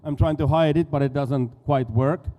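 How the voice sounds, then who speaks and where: A man's voice: fairly high pitch, fairly even intonation, moderate pace, mellow. A Finnish-accented man in his forties giving a casual expo stage talk, often stumbling and repeating words. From Finland. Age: 40s. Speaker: conference speaker, dive school owner.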